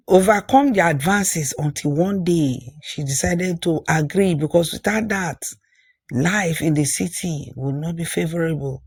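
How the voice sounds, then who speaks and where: A storyteller reads a scripted folktale in a husky voice of medium pitch. The phrasing is deliberate, with repetition for emphasis. The sound is dry, with no room around it. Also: a little mellow, no room sound.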